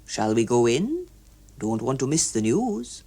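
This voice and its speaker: A woman's voice: very deep voice, very animated, a little slow, gravelly, mellow, slightly rough, voice pushed down, full character voice. A woman voicing a stiff, fussy older male tax inspector in an audiobook, clipped and awkward. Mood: brisk. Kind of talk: audiobook character voice.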